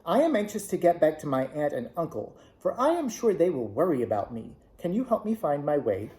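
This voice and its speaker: A man voicing an earnest, homesick young girl in a storybook reading, asking questions in a pleading way, higher than his own voice. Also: very animated, brisk, dark tone. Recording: echoey room.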